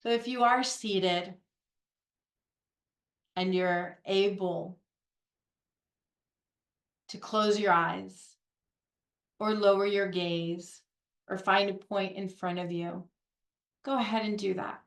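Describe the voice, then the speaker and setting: A woman guides a meditation at a slow, unhurried pace. Her voice sits at a middle pitch and she leaves gentle pauses between phrases. The recording has a noticeably roomy echo. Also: steady tone. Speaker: guided meditation leader, Reiki practitioner. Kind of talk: guided meditation, soothing instruction.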